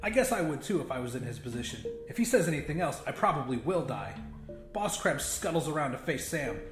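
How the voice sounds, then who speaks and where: A man's voice: fairly high pitch, very animated, fairly quick. A man narrating a scripted first-person horror comedy in a conversational, sweary storytelling manner. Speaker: audiobook narrator. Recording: roomy echo with music behind. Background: music.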